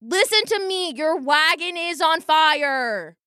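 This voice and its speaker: A woman voicing a young boy in a storybook who gets upset and shouts urgently, gasping for breath. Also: fairly low pitch, animated, takes it slowly, dry recording, studio quality, voice pushed down. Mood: pleading.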